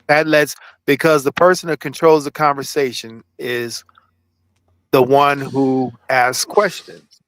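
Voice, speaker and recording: A man's voice: medium pitch, flat delivery, unhurried, a bit bright, no room sound, rough recording. A man hosting a livestream in casual chat, rambling, profane and self-assured. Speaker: livestream host.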